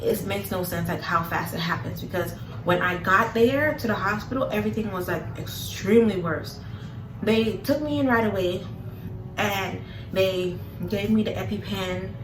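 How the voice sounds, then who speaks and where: A young woman, chatting casually to her viewers and filling her sentences with "like" and "literally." Her voice sounds mid-range, and it echoes in a room. She sits some distance from the mic, and a steady background noise runs underneath. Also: medium pitch, moderate pace. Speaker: vlogger. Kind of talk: casual storytelling to camera.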